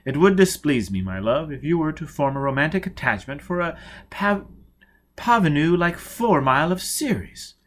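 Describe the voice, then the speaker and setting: A man voicing an iron-hard patrician father in an audiobook, calm, formal and protective. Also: a little high, moderate pace. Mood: composed, admonishing. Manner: calm, formal, gently admonishing.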